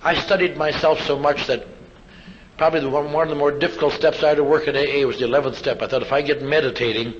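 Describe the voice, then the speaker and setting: A man's voice: fairly high pitch, fairly even intonation, quick pace. An older man giving a plainspoken personal testimony as a speaker, telling anecdotes with wry asides. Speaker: speaker at a recovery convention. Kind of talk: personal testimony speech to an audience. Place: live convention talk. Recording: dull, lo-fi live recording, faint noise.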